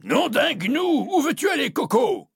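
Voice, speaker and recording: A bellowing pirate captain, put on by a male storyteller, high and gravelly, his voice swooping wildly as he roars oaths. He is quick to anger and loud. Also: very animated, no echo, studio quality, heavily acted. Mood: furious. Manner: shouting, swearing old-fashioned oaths.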